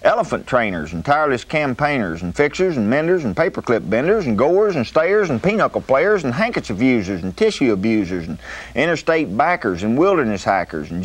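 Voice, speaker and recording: A man's voice: mid-range voice, poor audio. A man reciting a humorous rhyming poem, rattling off long lists.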